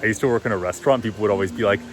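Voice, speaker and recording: A deep-voiced man talks casually and warmly about simple meditation practices in an easygoing, encouraging way. He is recording outdoors, and the steady roar of a nearby waterfall sits loud in the background. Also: a little hiss or hum. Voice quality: deep, low voice. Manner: relaxed, encouraging, conversational. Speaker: vlogger and meditation coach. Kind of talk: casual advice vlog. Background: rushing waterfall.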